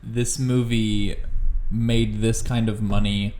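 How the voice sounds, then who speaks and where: A man's voice: on the low side, flat delivery, slow pace, warm tone. A man co-hosting a podcast, talking about films in a casual, informal, plain-spoken way. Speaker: podcast co-host. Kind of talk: casual film chat.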